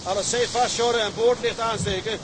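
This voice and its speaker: A Dutch man plays a determined young sea tug mate in a radio play. His voice sits high and he speaks in blunt, commanding orders. Heavy wind and boat noise surround him. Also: fairly even intonation, fairly quick, close to an everyday voice. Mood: stern, commanding. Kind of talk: radio drama acting.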